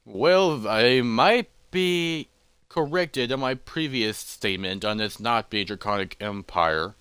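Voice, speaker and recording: A man's voice: medium pitch, slow pace, a bit bright, clean tone, no room sound. A man voicing a cautious, scholarly adventurer who mutters hesitantly to himself in a fantasy story. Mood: intrigued, musing.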